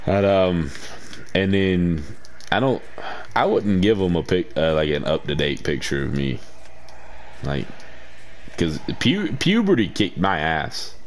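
A young man talks slowly in a fairly deep, lively voice, rambling and joking at his own expense. He chats casually over a game, with slangy filler. A faint hiss sits under the recording. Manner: rambling, slangy, self-mocking. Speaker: gaming channel co-host. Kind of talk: casual chat while playing a game.

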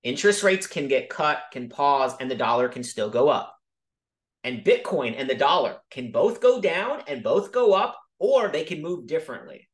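A man casually teaching about markets over a video call, talking quickly and emphatically and often checking in with "okay?". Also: a little high, sing-song. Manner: fast, emphatic, frequent "okay?" check-ins. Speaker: crypto educator hosting a Zoom call. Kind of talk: casual screen-share teaching.

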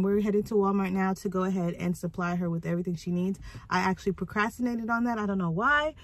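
A young woman vlogging from a parked car, hoarse from a cold, chatting in an animated storytelling way. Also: medium pitch, no echo. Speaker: vlogger mother. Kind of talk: casual vlog chat. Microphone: phone held at arm's length.

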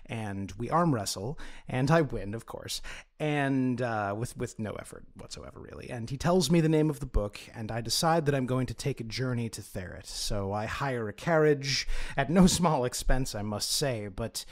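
A man's voice: medium pitch, lively intonation, normal speed, natural voice. A man playing a boastful, fussy aristocratic student in a tabletop game, telling his own story with pompous digressions. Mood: smug, amused. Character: boastful, fussy aristocratic male philosophy student, self-important. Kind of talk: in-character first-person tabletop storytelling.

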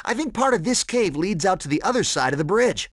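A man's voice: sits high, big pitch swings, a little fast, a bit bright, no room sound, studio quality, exaggerated voice. A man voicing a cocky, laid-back young cheetah sidekick in a video game, breezy, slangy and friendly. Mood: smug. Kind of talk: animated video game voice acting.

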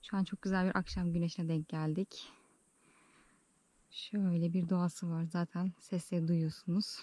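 A woman's voice: lowish voice, level tone, normal speed, mellow, no echo. A woman vlogger chatting casually and playfully to the camera and her companion, with a giggly manner. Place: mostly outdoors at a village campsite.

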